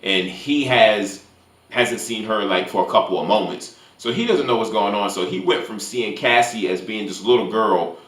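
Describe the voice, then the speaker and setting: A man giving a casual spoiler-filled movie review as a YouTuber, haltingly, often repeating and restarting his phrases. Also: on the low side, a bit bright, echoey room.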